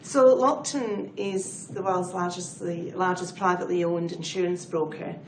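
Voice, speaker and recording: Scottish woman with a gravelly low voice. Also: fairly even intonation, a little slow, echoey room, a little hiss or hum, rough recording. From Scotland. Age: middle-aged. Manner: self-deprecating, chatty and fluent. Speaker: guest, head of people advisory. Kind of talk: conversational interview answers.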